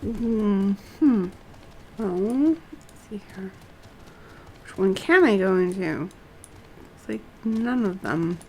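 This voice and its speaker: A woman streaming a game, commenting casually and haltingly as she thinks aloud and murmurs to herself. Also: mid-range voice, lively intonation, warm tone, a little hiss or hum, low-quality recording. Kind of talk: casual live gameplay commentary, reading quest dialogue aloud.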